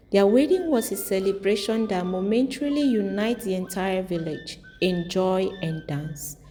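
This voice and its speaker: Mellow woman storyteller in long reverb. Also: mid-range voice. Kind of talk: scripted folktale narration. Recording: long reverb, music underneath. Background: music.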